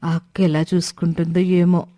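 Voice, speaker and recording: An anxious young mother played by a woman in a moral story, hurt and torn over her baby. The voice is mellow and a little low, recorded dry. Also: little pitch movement, normal speed, no echo, lightly acted. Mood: worried. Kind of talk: acted moral-story dialogue.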